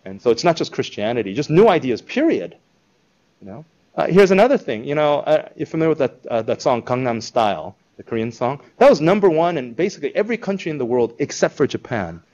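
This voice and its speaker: A man giving an informal talk to a live audience, conversational and animated, acting out quoted reactions. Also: medium pitch, fast, lo-fi sound. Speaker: speaker presenting a school project.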